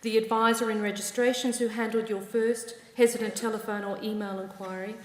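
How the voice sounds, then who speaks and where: A woman with an Australian accent giving a formal address to graduates at a ceremony, measured with wry asides. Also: fairly high pitch, flat delivery. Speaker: university ceremony presider. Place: large ceremony hall. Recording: echoey hall reverb.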